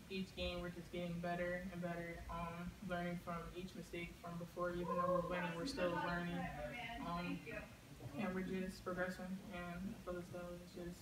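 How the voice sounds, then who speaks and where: Hesitant young woman player, flat and low. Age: young adult. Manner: hesitant, leans on "like" and "I feel as though". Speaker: college basketball player. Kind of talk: postgame press conference. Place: press room in an athletics building. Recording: roomy, distant audio with background noise.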